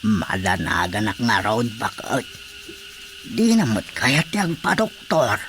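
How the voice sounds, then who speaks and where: An actor playing a stubborn village uncle who prefers the folk healer, in a radio drama. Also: male voice, on the low side, very animated, slow, fairly bright tone, heavily acted. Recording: radio drama with faint noise.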